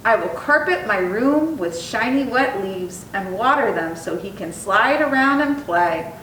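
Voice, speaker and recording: A woman's voice: expressive, a little slow, fairly bright tone. A woman reading the part of an eager, imaginative young boy in a children's storybook, with earnest, careful phrasing. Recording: echoey room, distant mic, faint rumbling noise.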